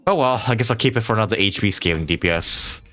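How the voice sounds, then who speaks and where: A man giving casual live commentary on a game, rambling and thinking out loud as he plays. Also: on the low side, expressive, fast, a little hiss or hum. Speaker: game streamer.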